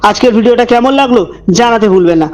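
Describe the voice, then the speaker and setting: A man narrating a scripted horror story as host, with deliberate dramatic phrasing and suspenseful pauses. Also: very high voice, a little mellow.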